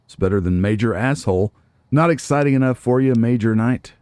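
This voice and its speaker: A man's voice: medium pitch, brisk, darkish tone, smooth, no echo. A man giving casual, playful gameplay commentary, talking back to characters in a theatrical way. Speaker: let's play host.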